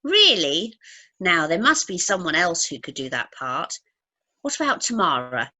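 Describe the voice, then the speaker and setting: Casting: actress as nosy, chatty expectant young woman, very animated melody, sharp bright tone, radio play gusto. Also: on the low side, measured pace, heavily acted. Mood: gushing. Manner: gushing, gossipy. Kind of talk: amateur radio play acting.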